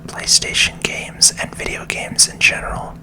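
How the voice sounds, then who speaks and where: A person whispering calm ASMR commentary over a video game, close to the microphone. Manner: slow, calming.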